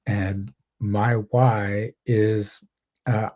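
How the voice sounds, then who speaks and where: A man speaks on a video call in a dark, husky voice with a slightly low pitch. He pauses often and fills gaps with fillers. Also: flat delivery. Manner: hesitant, with many fillers. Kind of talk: self-introduction on a panel.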